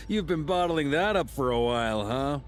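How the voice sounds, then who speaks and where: Easygoing, lively young companion. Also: male voice, fairly high pitch, a little hiss or hum. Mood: teasing. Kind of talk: anime game voice acting.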